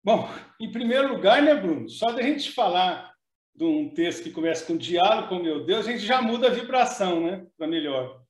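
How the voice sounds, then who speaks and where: A man co-hosting a talk, speaking in a measured way with hesitations and frequent tag questions. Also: high voice, animated, roomy sound. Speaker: co-host. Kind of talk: casual discussion.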